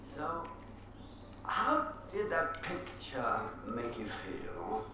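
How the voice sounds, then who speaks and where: An actor playing a measured, probing middle-aged therapist in a video game. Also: male voice, mid-range voice, very animated, very echoey, recorded from a distance, background noise.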